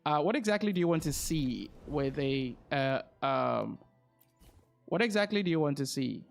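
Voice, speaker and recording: A man's voice: a little high, lively intonation, moderate pace, vocal fry, faint music behind. A man chatting casually on a game livestream, thinking aloud and repeating his phrases as he goes. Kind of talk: casual livestream chat while gaming.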